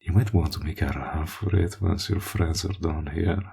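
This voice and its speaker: A man playing a smug, menacing villain in an audio roleplay, taunting and mock-polite, possessive, with a gravelly, husky voice. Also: deep voice, fairly even intonation, dark tone, exaggerated voice. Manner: taunting, mock-polite, deliberate drawl with drawn-out threats. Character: smug menacing male villain, possessive toward a former friend. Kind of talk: scripted audio roleplay drama.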